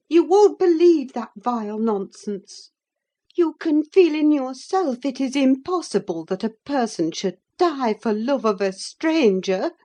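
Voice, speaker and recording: A woman's voice: fairly low pitch, a little mellow, lightly acted. A woman voicing a sensible, protective, plain-spoken servant woman in an audiobook, firm and admonishing. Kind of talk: audiobook character voice.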